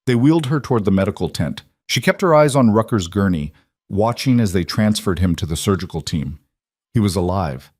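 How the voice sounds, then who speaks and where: Male narrator, lowish voice, dry close sound, steady measured story reading. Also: dry recording.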